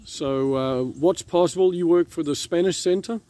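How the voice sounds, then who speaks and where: A man's voice: on the high side, normal speed, warm tone. A man hosting a vlog, explaining things to viewers in a casual, chatty, informative way. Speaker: vlog host. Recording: noisy outdoor street with traffic.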